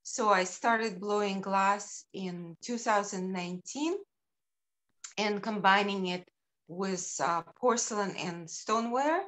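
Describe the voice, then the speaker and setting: A middle-aged woman from Ukraine walks through slides of her work on a video call. She speaks slowly, pausing often with ums and uhs. Her voice sits at an ordinary pitch. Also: a bit bright. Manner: unhurried, halting with frequent ums. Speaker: sculptor presenting her work.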